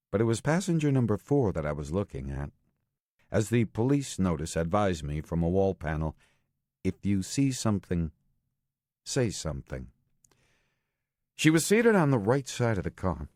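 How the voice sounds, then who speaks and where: A low-pitched American man narrates a thriller novel in short, clipped phrases. His delivery is deliberate and terse, as scripted first-person storytelling. The recording is very dry and close, with no room sound. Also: darkish tone, dry recording. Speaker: audiobook narrator. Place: studio.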